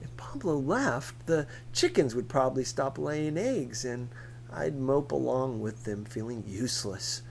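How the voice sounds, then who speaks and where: A man's voice: mid-range voice, animated, measured pace, creaky, a little hiss or hum. A man reading a first-person novel aloud as scripted narration. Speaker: audiobook reader.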